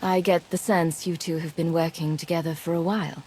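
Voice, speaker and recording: An actress playing a cool, capable female commander and agent in a sci-fi game, measured and understated. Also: on the low side, steady tone, a little mellow, a little hiss or hum, close to an everyday voice. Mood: measured, curious.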